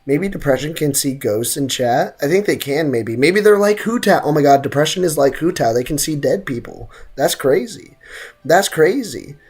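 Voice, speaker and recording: A woman's voice: sits low, lively intonation, normal speed, a little mellow, breathy edge. A woman streaming a game, chatting casually and trading slangy, joking banter with viewers. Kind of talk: casual livestream chat and game commentary.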